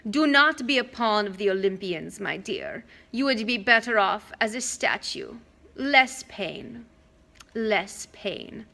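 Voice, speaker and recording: A woman voicing a soothing old female monster who poses as a kindly grandmother, with a slow, purring, coaxing manner, in a storybook reading. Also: medium pitch, lively intonation, creaky, bright, forceful tone, heavily acted.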